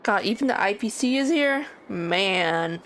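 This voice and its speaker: Lively woman streamer reacting to a game. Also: mid-range voice, unhurried, noisy background. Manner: exclamatory, reactive. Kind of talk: casual gameplay commentary. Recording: game music behind.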